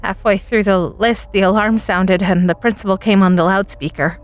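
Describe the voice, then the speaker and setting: A woman playing an anxious high school freshman girl who tells her story in a hesitant, stammering way. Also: steady tone, moderate pace, close to an everyday voice. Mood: anxious, recounting. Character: nervous teenage freshman girl recording during a school lockdown. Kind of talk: dramatic first-person audio story narration.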